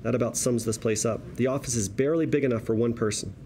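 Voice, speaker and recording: A man with a dark, mellow voice of middling pitch narrates a script. He reads the stage directions at a table read, with faint noise behind him. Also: quick pace. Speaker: narrator reading screenplay directions.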